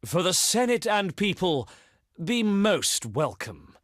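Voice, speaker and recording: An actor playing a dignified, shrewd Roman envoy, delivering formal diplomatic oratory for a video game. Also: male voice, sits high, very animated, slow, a bit bright, no echo, studio quality, exaggerated voice. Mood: welcoming.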